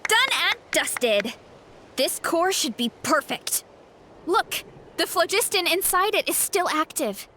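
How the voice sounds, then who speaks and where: A woman's voice: extremely high pitch, big pitch swings, slow, pushed and bright, slight background noise, exaggerated voice. A woman playing an earnest, modest and eager young warrior girl in an anime video game, with a youthful, girlish voice. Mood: satisfied.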